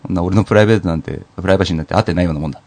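Fast-talking low-voiced man, jokey podcast host. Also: darkish tone. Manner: jokey, self-deprecating, talkative. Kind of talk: casual comedic banter.

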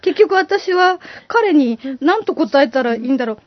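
A woman's voice: high-pitched, expressive, normal speed, no room sound. A woman chatting casually and comically as a podcast co-host, musing aloud in a giggly way. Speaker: podcast co-host playing a bar customer. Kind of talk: casual comedic chat. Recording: dull audio with music behind. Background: background music.

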